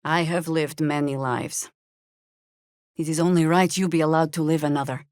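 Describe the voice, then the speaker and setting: A woman's voice: low-pitched, steady tone, moderate pace, a little mellow, clean tone, no echo, lightly acted. A woman voicing a seasoned, wry, battle-hardened druid veteran in a fantasy video game, speaking in a dry, world-weary, commanding way. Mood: earnest.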